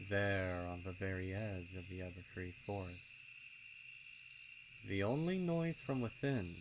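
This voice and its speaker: A man with a lowish voice narrates a dark tale slowly and ominously, varying his tone for drama. Loud cricket and insect ambience runs behind him. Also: very noisy. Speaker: story narrator. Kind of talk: dramatic horror story narration.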